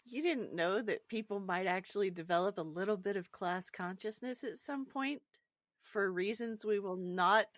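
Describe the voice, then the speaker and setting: A woman's voice: mid-range voice, moderate pace, dry recording. A woman co-hosting a podcast in casual, joking discussion with a playful manner. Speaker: podcast co-host.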